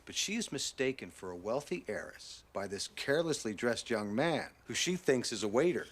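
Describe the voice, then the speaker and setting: A man's voice: medium pitch, very animated, moderate pace. An actor playing an eager, modest 1930s male schoolteacher in a television period drama, talking in boyish slang. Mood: sheepish, modest. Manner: slangy, boyish, self-deprecating.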